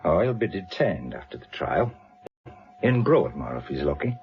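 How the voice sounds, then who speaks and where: A middle-aged British police inspector in a radio drama, dry and fatherly with quiet authority. His voice is low and lively in its movement, heard on a slightly dull recording. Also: moderate pace. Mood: wry, kindly. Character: wry, steady, fatherly senior police inspector.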